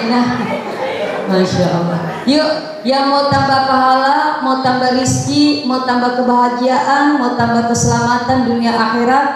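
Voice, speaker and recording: High-voiced woman preaching in an echoey hall. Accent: Sundanese. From West Java, Indonesia. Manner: playful, quizzes the audience. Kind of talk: lively sermon with Arabic chanting. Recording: echoey hall, distant mic, crowd.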